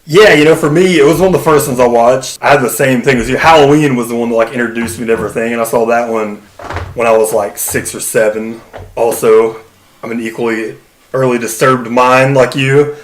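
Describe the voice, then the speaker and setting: Male co-host, mid pitch, rambling casual delivery, echoey room sound. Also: fairly even intonation, a little fast. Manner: rambling, full of fillers like "you know" and "like". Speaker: podcast co-host. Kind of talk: casual podcast chat.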